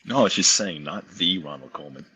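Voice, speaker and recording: A man's voice: sits low, expressive, brisk, bright, forceful tone, slight background noise. A man chatting casually as a co-commentator at a listening party. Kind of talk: casual chat.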